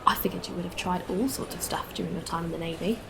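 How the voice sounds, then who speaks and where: A woman's voice: lowish voice, fast, gravelly, a bit bright, a little hiss or hum. A woman playing a candid, friendly spacefarer in a sci-fi audio drama, talking in a casual, conversational way. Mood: friendly, curious. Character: candid, weary but friendly female spacefarer.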